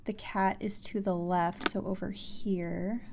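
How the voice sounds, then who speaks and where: A woman playing a puzzle game and giving casual commentary, thinking aloud haltingly and trailing off as she works things out. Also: mid-range voice, fairly even intonation, vocal fry, background noise. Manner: halting, trailing off while working through puzzles.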